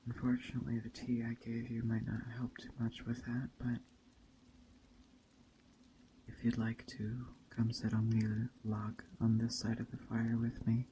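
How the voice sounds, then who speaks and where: A man speaking softly and unhurriedly with long pauses, in an ASMR roleplay as a gentle, hospitable witch. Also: medium pitch, slight background noise, rough recording. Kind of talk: soft-spoken ASMR roleplay narration. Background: rain and forest ambience.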